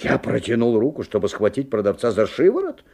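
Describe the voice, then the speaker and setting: An actor playing an anxious, watchful father who narrates a strange tale in a 1970s radio play, expressive and dramatic. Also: medium pitch, a little fast. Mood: dramatic dread.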